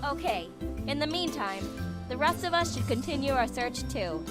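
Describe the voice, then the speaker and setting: A young team leader from a story game, with a fairly high woman's voice. She is blunt, organised and dryly matter-of-fact. Music plays clearly behind her. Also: very animated, moderate pace. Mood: businesslike.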